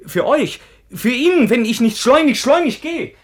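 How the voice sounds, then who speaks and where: An actor playing an impulsive, lovestruck young knight in classical radio drama, halting and urgent. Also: male voice, very high-pitched, big pitch swings, full character voice. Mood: urgent, alarmed. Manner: urgent, halting, repeats himself.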